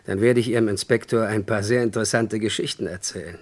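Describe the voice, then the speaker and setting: A smooth-talking, slimy male villain performed by an actor from a 1960s German radio thriller. He threatens formally and coolly in a fairly low voice. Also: fast, lightly acted. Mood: cool menace. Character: smarmy, threatening male villain blackmailing a woman. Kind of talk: 1960s German radio crime drama acting.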